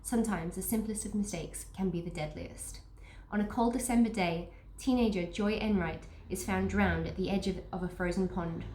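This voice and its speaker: A woman's voice: fairly low pitch, a little mellow. Upbeat woman with a stuffy cold. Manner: chatty, upbeat, restarts sentences. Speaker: book vlogger unboxing a subscription box. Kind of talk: casual unboxing chat with read-aloud blurbs. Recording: echoey room with faint noise.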